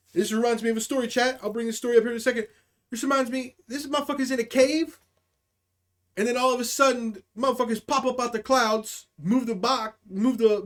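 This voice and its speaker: Profane, slangy comedy streamer. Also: medium pitch. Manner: profane, slangy, acts out quoted characters. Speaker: live stream comedy host. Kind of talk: casual improvised comedy storytelling to a live chat.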